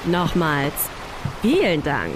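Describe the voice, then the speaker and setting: A woman's voice: sits low, huge pitch swings, background noise, heavily acted. A woman playing a self-assured, flirtatious woman in a science-fiction radio play, teasing and wry. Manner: wry, unhurried. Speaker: voice actor in an audio drama.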